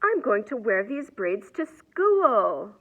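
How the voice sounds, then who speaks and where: A woman voicing an admiring, excitable schoolteacher in a children's storybook, exclaiming her lines. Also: a little high, takes it slowly, phone-quality sound, heavily acted.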